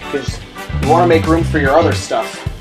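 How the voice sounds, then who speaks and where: A young man with a fairly high voice talks to the camera in a home kitchen. He hosts a casual cooking tutorial, with music playing behind him. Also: normal speed. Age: young adult. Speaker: cooking show host. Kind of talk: casual how-to presentation to camera.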